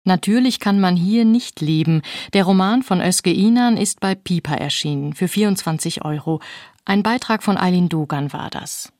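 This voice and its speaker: Lower-pitched woman radio host, dry sound. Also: normal speed, darkish tone, no echo. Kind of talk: scripted book show presenting.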